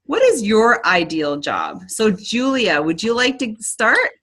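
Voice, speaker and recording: A woman's voice: on the high side, wildly swooping pitch, takes it slowly, fairly bright tone. A woman hosting a casual online business presentation, chatty and upbeat, with frequent ums and restarts. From Canada.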